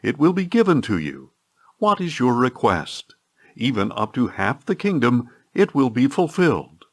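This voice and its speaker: A man's voice: medium pitch, big pitch swings, moderate pace. A man voicing a commanding, generous king in an audiobook scripture reading, with an emphatic, regal delivery. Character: commanding, generous king offering favors to his queen.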